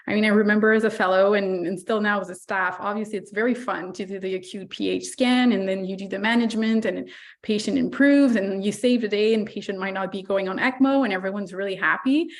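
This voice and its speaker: A woman neonatologist with a hoarse voice from laryngitis, giving a chatty online medical lecture over a video call, full of fillers and playful asides. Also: mid-range voice.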